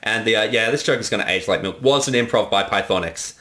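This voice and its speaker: A man's voice: fairly low pitch, fast talker, bright, forceful tone. A man giving casual director's commentary as the creator of a comedy production. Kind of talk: casual film commentary chat.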